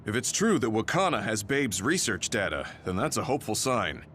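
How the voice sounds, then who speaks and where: A man's voice: fairly low pitch, big pitch swings, a little fast, a bit bright, slight background noise. A man playing a serious, analytical young soldier in an English anime game dub. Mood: grave. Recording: music behind the voice.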